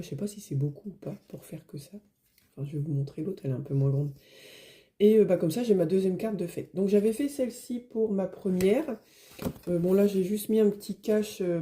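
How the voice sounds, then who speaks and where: Brief: hoarse middle-aged woman vlogger, mellow tone, meandering chatty delivery from a home desk. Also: fairly low pitch. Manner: rambling, full of fillers and restarts. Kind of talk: casual chat.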